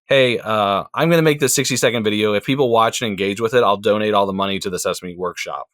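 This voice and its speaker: A man's voice: lowish voice, fast talker, a bit bright, dry recording, clean studio sound. A man talking as a podcast guest, easygoing and thoughtful, with frequent fillers. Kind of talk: casual chat.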